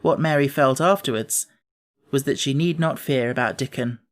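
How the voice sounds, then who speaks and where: A man's voice: mid-range voice, moderate pace. A man reads as an audiobook narrator. His delivery is scripted storybook narration, telling a children's tale.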